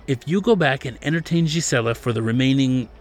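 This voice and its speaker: A man's voice: a little high, brisk, pitched above the performer's own voice, natural voice. A man voicing a stern, formal middle-aged male manager who lectures earnestly and insists on proper manners. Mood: pleading. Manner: lectures earnestly, addresses him as sir.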